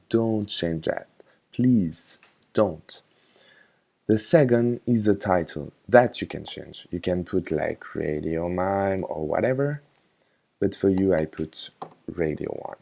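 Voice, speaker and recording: Male tutorial narrator, informal and hesitant, unhurried delivery, mellow tone. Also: on the low side, vocal fry. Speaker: software tutorial instructor. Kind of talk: casual screen tutorial narration.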